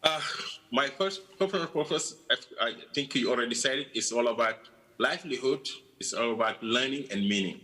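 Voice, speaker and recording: A man's voice: medium pitch, fairly quick, pushed and bright, poor audio. A man answering interview questions in an earnest, reflective way, often saying "you know.". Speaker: guest from a changemaker organisation.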